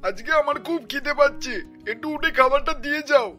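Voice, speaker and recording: A woman's voice: high voice, fairly quick, bright, forceful tone, faint music behind. A woman playing a meek hungry old father-in-law. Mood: plaintive.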